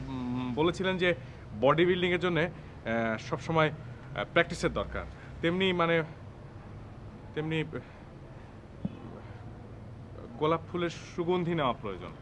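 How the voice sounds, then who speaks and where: High-voiced shy lovesick young man. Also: animated, fairly bright tone, a little hiss or hum. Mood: nervous, bashful. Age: young adult. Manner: hesitant, halting. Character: shy, lovesick young bodybuilder, earnest and awkward. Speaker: actor in a TV drama. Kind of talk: TV drama dialogue.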